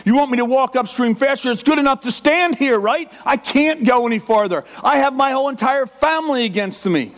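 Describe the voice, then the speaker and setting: High-voiced man preaching a sermon. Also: brisk, few pauses. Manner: impassioned, acts out the inner voice of a struggling believer. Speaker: pastor.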